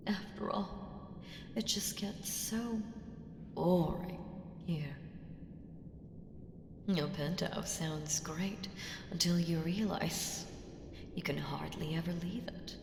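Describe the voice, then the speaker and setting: A woman voicing an ancient, haughty evil entity in a slow ASMR audio roleplay, deliberate and teasing, slipping into breathy whispers. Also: on the low side. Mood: amused menace. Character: ancient evil female entity, powerful, haughty, coolly menacing patron. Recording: echoing long hall reverb, ambient hiss.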